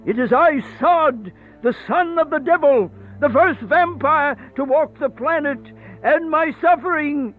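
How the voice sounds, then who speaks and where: An actor playing an aged, sickly male vampire, bitter and grandiose, in theatrical, declamatory radio horror style. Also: extremely high pitch. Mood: exalted anguish. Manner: theatrical, declamatory, drawn-out phrasing. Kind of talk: radio horror play acting. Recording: thin phone-like band-limited audio with music.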